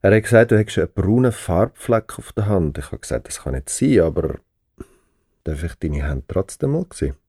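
An actor playing a tired, gently probing middle-aged father with a Swiss German accent in a children's audio drama. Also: low-pitched, lively intonation, a little fast, slightly rough, no room sound, studio quality, full character voice.

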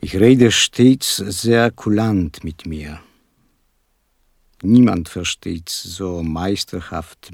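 An actor playing an eccentric, self-satisfied and courteous poet, reciting formally and deliberately in a theatrical style. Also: male voice, low-pitched, gravelly, a little mellow, no room sound, studio quality, full character voice. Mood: self-satisfied, musing. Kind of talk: theatrical literary recitation with music. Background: musical instruments.

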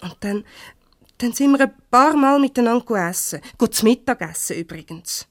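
A woman playing a warm-hearted, defensive divorcee in a Swiss German radio comedy, conversational and a little hesitant. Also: fairly high pitch, animated, moderate pace, no room sound, studio quality, close to an everyday voice. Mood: confiding. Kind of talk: naturalistic 1970s radio comedy acting.

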